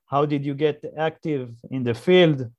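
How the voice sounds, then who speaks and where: Slow, halting male interviewer. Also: on the high side, no echo, highs cut off. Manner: halting, repeats himself. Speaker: interview host. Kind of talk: casual interview questions.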